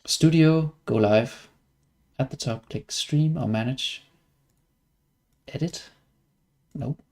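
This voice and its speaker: A man's voice: mid-range voice, slow, darkish tone. A man from Denmark with a Danish accent, chatting casually and wryly as he comments on his livestreamed gameplay. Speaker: game streamer.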